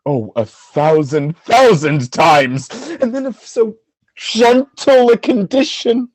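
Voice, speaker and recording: Give this jealous general a husky voice and a slow, dramatic delivery from a male actor. The pitch swings widely between brooding and anguished outcry, as in a Shakespearean radio play, recorded close and dry. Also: animated, darkish tone, no room sound, heavily acted. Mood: bitter fury. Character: tormented jealous husband, a military general.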